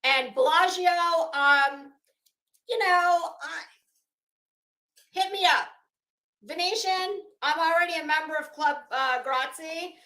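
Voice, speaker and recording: A woman hosting a livestream, rambling casually in an animated, theatrical, self-mocking way. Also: extremely high pitch, takes it slowly, long pauses. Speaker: livestream host. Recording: echoey, roomy sound.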